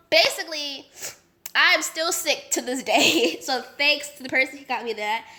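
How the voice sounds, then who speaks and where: Bright, nasal young woman with a cold. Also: sits high. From Las Vegas. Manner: chatty, animated, full of slang and fillers. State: sick, congested and nasal. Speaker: vlogger. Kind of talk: casual storytime vlog.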